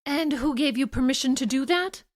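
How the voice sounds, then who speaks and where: An actress playing a frosty, suspicious wealthy wife in an audio drama, speaking in a clipped, haughty way. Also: female voice, high voice, moderate pace, no room sound, studio quality, lightly acted. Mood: cold, suspicious.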